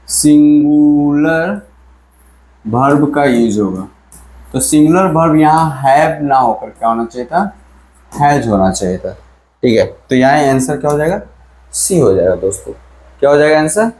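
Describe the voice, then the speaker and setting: A man's voice: on the high side, expressive, a little slow, pauses often, a little mellow, a little hiss or hum. A male teacher explaining grammar in an exam-prep lesson. He quizzes the viewers again and again and repeats key words. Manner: stretches out English sentences he reads aloud. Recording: echoey room.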